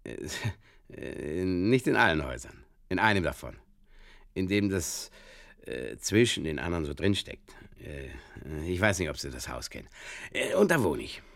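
A man's voice: sits low, sing-song, moderate pace, gravelly, a bit bright, dry recording, clean studio sound, exaggerated voice. A man playing a tipsy, befuddled rambler who hesitates and pedantically corrects himself in a comic audio play. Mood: befuddled, pedantic.

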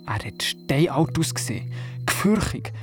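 A performer playing an excitable young boy who retells his dream vividly and naively in a children's radio play. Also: mid-range voice, moderate pace. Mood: mischievous. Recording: music behind the voice. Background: music.

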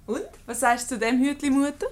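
A woman's voice: high voice, fairly even intonation, fairly quick, close to an everyday voice. A woman playing an unflappable, witty married lady in a Swiss German radio comedy, with a breezy, teasing, ironic manner. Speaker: radio play actor.

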